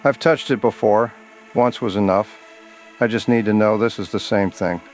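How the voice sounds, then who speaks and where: A man's voice: on the low side, steady tone, normal speed, faint music behind, close to an everyday voice. A man playing a grim, level-headed local hunter in a horror story, grave and matter-of-fact. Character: grim, level-headed local male hunter who knows his prey. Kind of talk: scripted horror story dialogue.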